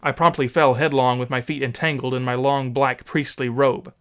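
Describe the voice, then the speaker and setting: A man narrating an old memoir as a dramatic audiobook, solemn, measured and theatrical. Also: mid-range voice, little pitch movement, fairly bright tone, smooth.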